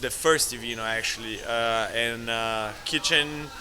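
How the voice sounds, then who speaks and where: Bright-voiced Italian man telling stories. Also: medium pitch, unhurried, soft background music. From Italy. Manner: animated, laughs often, acts out quoted voices. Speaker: guest, restaurateur. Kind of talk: casual storytelling.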